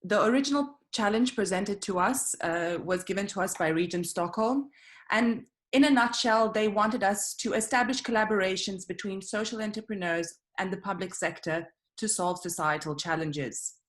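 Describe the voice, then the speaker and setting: Student presenter, woman, steady scripted delivery. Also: medium pitch, normal speed. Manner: steady, walks through slides with some fillers.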